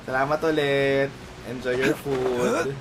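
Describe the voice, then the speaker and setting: A man's voice: medium pitch, background noise. A man with a Filipino accent chatting casually as a game streamer, switching between two languages. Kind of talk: casual chat, switching between Tagalog and English. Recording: game music playing over the voice. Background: game music.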